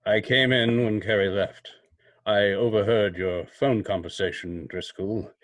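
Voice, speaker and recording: An actor playing a sly, menacing invisible crime fighter in a radio drama, speaking slowly and formally with a mocking edge. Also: male voice, on the low side, steady tone, dry recording, natural voice. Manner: slow, deliberate, mocking, formal. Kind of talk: old-time radio drama acting.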